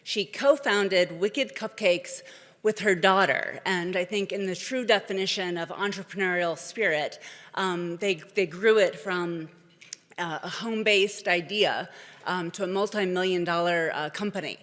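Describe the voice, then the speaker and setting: A woman's voice: lowish voice, measured pace, a bit bright. Woman hosting in a big reverberant hall. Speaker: event host introducing speakers. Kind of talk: semi-scripted welcome remarks to a live audience. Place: large indoor event hall, on stage. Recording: echoey hall with long reverb.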